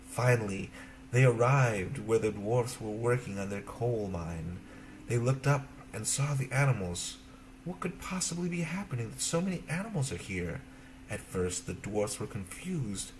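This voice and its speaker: A man narrating a children's fairy tale as an expressive storyteller, in simple phrases. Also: medium pitch, moderate pace, noisy background.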